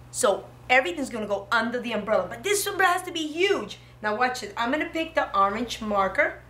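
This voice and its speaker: A woman preschool teacher with a bright, forward voice that sits fairly high. She guides small children through simple steps in short, encouraging phrases and often checks that they follow. There is clear background noise in the classroom. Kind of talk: simple step-by-step instruction for young children.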